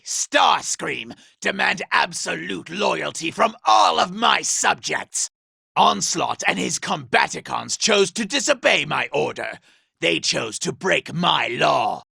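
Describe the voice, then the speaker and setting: A male actor playing a vain, scheming, power-hungry robot commander in a video game, imperious and theatrical, with a raspy, gravelly voice. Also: high voice, sharp tone, no echo, heavily acted. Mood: shouting, frantic, then furious, imperious. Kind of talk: video game villain voice acting.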